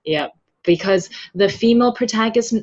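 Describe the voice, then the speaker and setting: A woman's voice: a little high, normal speed, darkish tone, dull audio. A woman speaking as a podcast guest, chatty and candid in a casual conversational interview. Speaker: podcast guest, web novel translator.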